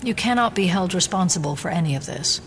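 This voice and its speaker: A woman's voice: lowish voice, expressive, fast, slight background noise. Firm, protective female federal agent. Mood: concerned. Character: fiercely protective female FBI agent, rational, insistent partner. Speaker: FBI agent. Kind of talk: audio drama acting.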